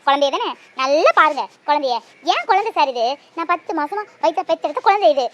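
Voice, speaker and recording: A woman's voice: high-pitched, very animated, fast, dull audio. A woman playing a young mother who fiercely guards her child. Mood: angry. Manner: coaxing to her child, sharp when confronted. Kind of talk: Tamil drama acting.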